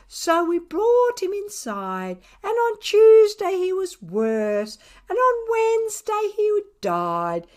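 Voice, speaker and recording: A chatty neighbour lady from a storybook as a woman reader acts her out, full of wonder. Her high voice swoops in sing-song, emphatic gossip, drawing the words out slowly. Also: exaggerated voice. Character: chatty, wonder-struck woman neighbour telling strange news. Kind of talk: children's audiobook character voice.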